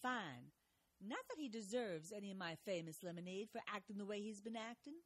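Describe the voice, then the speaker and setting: A woman's voice: medium pitch, lively intonation, a little fast, lightly acted. A woman voicing a fiery, motherly small-town housewife who scolds with dramatic swings. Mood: huffy. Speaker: wife of the host figure. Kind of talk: amateur audio drama acting.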